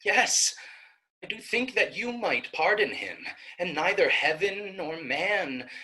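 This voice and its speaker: An actress playing a pleading, righteous young maiden in a staged reading of Shakespeare, with impassioned, rhetorical verse delivery. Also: female voice, on the low side, animated, slow pace, pushed and bright, full character voice, rough recording. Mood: earnest pleading.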